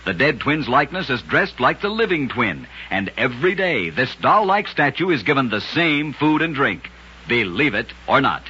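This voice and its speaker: A man's voice: mid-range voice, very animated, moderate pace, pushed and bright, slightly rough, muffled recording. A man hosting an old-time radio show, narrating scripted oddities in a dramatic, emphatic way on a vintage recording. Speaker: radio storyteller and host.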